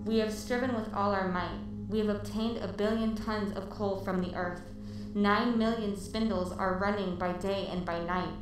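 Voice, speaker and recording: A woman's voice: medium pitch, fairly even intonation, moderate pace, music in the background, lightly acted. A woman playing a merciless, dutiful robot soldier in a radio drama, with stiff, declamatory delivery. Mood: formal, dutiful. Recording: echoey, distant, noisy recording.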